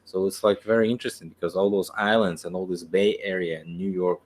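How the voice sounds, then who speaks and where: Deep-voiced man, halting speech. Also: steady tone, a little mellow. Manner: halting, searches for words, stammers. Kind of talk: casual video-call chat.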